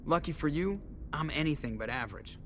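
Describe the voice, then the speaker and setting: A man's voice: a little high, animated, fairly quick, fairly bright tone, a little hiss or hum. A man acting a cocky, wisecracking starship pilot in a science fiction video game, casual and boastful.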